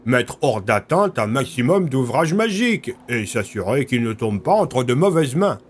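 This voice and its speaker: Man acting a refined, smug schemer, lively intonation. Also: medium pitch, normal speed, faint music behind, close to an everyday voice. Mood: courteous. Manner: urbane, courteous, smug. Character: refined, scheming male military leader, smoothly polite. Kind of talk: French audio fiction acting.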